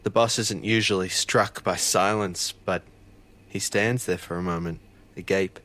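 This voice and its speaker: An actor as an earnest fisheries officer, narrating a sea mystery in the first person. His voice is low yet forceful and bright, dramatic and bewildered, over faint ambience. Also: fairly even intonation, unhurried, a little hiss or hum. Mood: hushed wonder, recounting.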